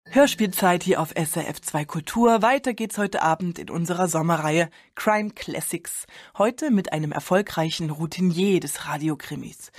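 A woman's voice: lowish voice, darkish tone, husky, no room sound, studio quality. A woman radio host giving a scripted introduction to a programme.

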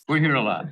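A man's voice: medium pitch, a bit bright. Joking man speaking from far off. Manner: chatty, joking. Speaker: audience member. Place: large meeting hall.

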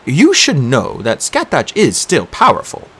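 A man doing a calm calculating schemer. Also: mid-range voice, breathy edge, pitched above the performer's own voice, heavily acted. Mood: measured. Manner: measured, curious.

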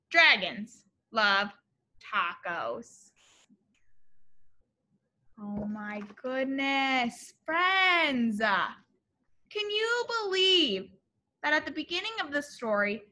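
A young woman reading a picture book to children as a teacher, in an expressive, exaggerated way, often addressing her young listeners with questions. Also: high-pitched, slow pace. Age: young adult. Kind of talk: animated children's story read-aloud. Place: classroom, indoors.

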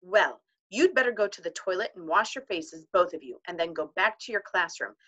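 A woman's voice: on the low side, expressive, brisk, fairly bright tone. A woman voicing a fussy, old-fashioned woman teacher who speaks in prim exclamations while reading a storybook aloud. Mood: flustered.

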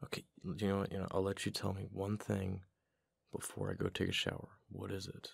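A man acting as a worn-out husband in an intimate boyfriend audio roleplay. His voice is very low and heavily gravelly, with a husky edge. He talks casually and haltingly, fond but a little exasperated. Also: completely flat delivery, dry recording, studio quality, full character voice. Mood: gently exasperated. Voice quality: deep, gravelly. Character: tired, affectionate husband, mildly exasperated, back from gym.

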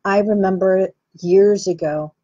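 A woman with an Armenian accent speaks slowly and earnestly, taking her time over each thought. Her voice is low, mellow and a little husky. The recording sounds muffled and lo-fi. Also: steady tone. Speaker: healing practitioner and host. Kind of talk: reflective talk to camera.

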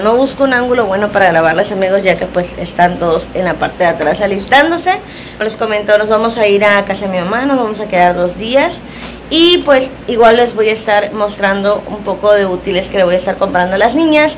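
A woman in her thirties with a Mexican accent, chatting casually to her viewers as a family vlogger. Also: medium pitch. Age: 30s. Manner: chatty, addresses viewers as friends. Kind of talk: casual vlog narration to viewers. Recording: noisy phone recording with background ambience.

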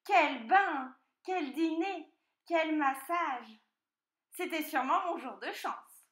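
Here is a woman acting out a sly little boy piglet from a storybook, high and very animated. He pleads with exquisite politeness and fake innocence, in a roomy, echoing space. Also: a little slow, full character voice. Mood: gleeful, scheming. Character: cunning young piglet, polite and wheedling, male. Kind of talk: children's storybook character voice.

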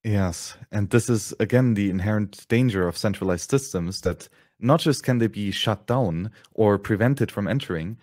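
Casting: male interview host, fairly low voice, very dry close sound, formal and articulate. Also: moderate pace, a little mellow, no echo. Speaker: podcast host. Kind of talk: interview, reflective commentary.

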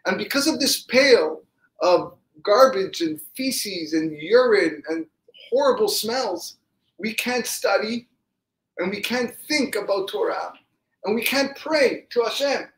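A man's voice: sits high, lively intonation, takes it slowly, a bit bright, voice pushed up, full character voice. A man voicing a despairing, pleading pious man in a religious story, full of repeated anguished questions. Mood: distraught, pleading. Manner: pleading rhetorical questions, repetitive. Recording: echoey room.

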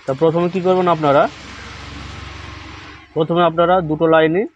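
A man hosting a hands-on repair tutorial, explaining each step slowly and repeating instructions, recorded on a phone indoors. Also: a little high, level tone, highs cut off, a little hiss or hum. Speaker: repair channel host. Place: indoor workbench.

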